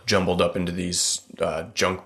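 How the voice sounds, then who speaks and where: A man's voice: sits low, monotone, moderate pace, fairly bright tone. A young man co-hosting a podcast, chatting casually and explaining with loose restarts, close to a studio mic in a small room. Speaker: podcast co-host. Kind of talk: casual chat. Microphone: studio mic on stand, close.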